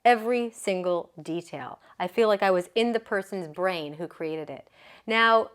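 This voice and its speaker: A woman's voice: fairly low pitch, normal speed, clear, resonant tone. A woman yoga business coach giving a motivational talk, chatty and earnest, often checking in with the listener and laughing easily.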